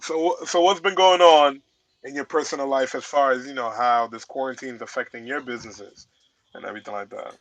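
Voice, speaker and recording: An American man hosting a casual radio chat, agreeable and quick to chime in. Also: mid-range voice, fairly bright tone, rough recording. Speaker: radio show host.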